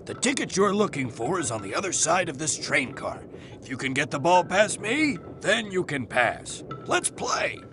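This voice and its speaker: A man's voice: fairly high pitch, sing-song, moderate pace, over music. An actor playing a sporty male toy puppet in a video game, playfully goading a challenger. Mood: playful challenge. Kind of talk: video game character acting.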